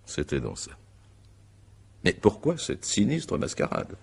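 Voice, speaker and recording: Stern magistrate, gravelly, animated intonation, formal interrogation, radio mystery drama. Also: male voice, fairly low pitch, a little slow, full character voice. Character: stern, methodical male examining magistrate.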